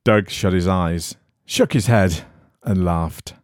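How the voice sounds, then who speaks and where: A man reading an eerie horror story in a measured, suspenseful storytelling manner. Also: low-pitched, a little mellow, no echo, clean studio sound. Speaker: story reader.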